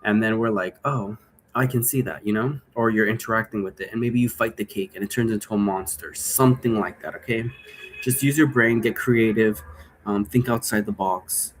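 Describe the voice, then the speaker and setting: A man with a fairly low voice talks casually, as if working out his explanation as he goes. He drifts from one point to the next in an informal, rambling way. Some noise sits behind his voice. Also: normal speed. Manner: informal, digressive, thinking aloud. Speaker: game creator explaining role-play rules. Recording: noticeable background noise.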